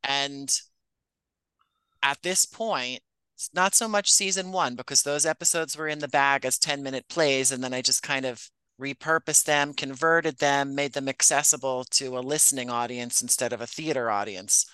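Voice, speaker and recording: Bright, clear-voiced man answering interview questions. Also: mid-range voice, normal speed, dry recording, poor audio. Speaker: podcast writer-producer and actor, interview guest.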